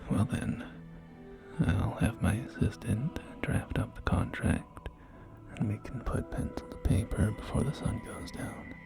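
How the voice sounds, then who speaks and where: Wasteland realtor male performer, courteous and salesy, slow creaky whisper for ASMR roleplay, music underneath. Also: fairly low pitch. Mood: courteous, pleased. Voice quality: breathy whisper. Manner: courteous, gently salesy, hesitant pauses. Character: polite, salesy post-apocalyptic real estate agent. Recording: soft game music behind. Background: music and game audio.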